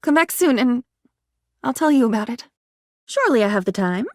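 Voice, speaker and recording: A woman voicing a sentient diary in a video game, newly self-aware, wistful, curious and excitable, musing in a theatrical, halting way. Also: a little high, no echo, clean studio sound, close to an everyday voice. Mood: tender, grateful. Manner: theatrical, musing, halting, swinging from hushed to exclaiming.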